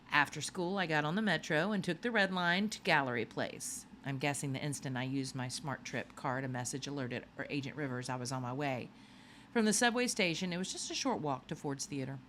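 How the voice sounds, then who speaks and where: A woman reading a children's novel aloud in an animated storytelling manner. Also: deep voice, slight background noise. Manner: animated storytelling with acted dialogue. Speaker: audiobook reader.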